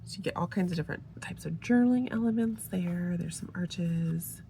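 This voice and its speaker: Slow, gravelly low-voiced woman with a cold. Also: a little hiss or hum. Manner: relaxed, gushing, with fillers and soft trailing asides. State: getting over a head cold, a bit nasal. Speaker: planner sticker reviewer. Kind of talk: casual show-and-tell narration.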